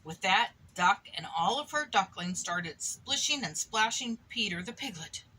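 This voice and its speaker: Mother reading storybook: bright female voice, expressive, chatty asides to child, noticeable background noise. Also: medium pitch, unhurried, rough recording. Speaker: mother reading a picture book aloud. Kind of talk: animated storybook read-aloud to a child.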